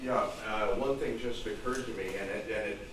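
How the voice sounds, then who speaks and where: A man's voice: on the low side, fairly quick. A man making an informal suggestion from the audience at a club meeting. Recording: echoey room, distant mic, clear noise.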